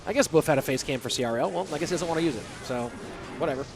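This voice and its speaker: A man's voice: fairly high pitch, rapid-fire, music in the background. Stuffed-up male esports caster. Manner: relaxed, chatty, answers chat questions between plays. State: stuffy nose from a cold. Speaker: esports commentator. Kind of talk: solo play-by-play casting and reading chat.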